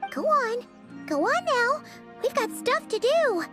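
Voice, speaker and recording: Squeaky, very high fairy companion. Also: female voice, big pitch swings, slow pace, fairly bright tone, exaggerated voice. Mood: encouraging. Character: tiny, chirpy, childlike fairy companion, friendly and curious. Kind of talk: animated video game voice acting. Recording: background music under the voice.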